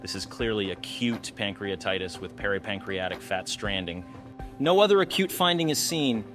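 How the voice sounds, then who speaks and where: A man's voice: fairly low pitch, animated, normal speed, a bit bright, soft background music. A man narrating an explanatory case walkthrough as a radiology instructor, posing questions to the viewer as he teaches. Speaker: radiology instructor presenting cases.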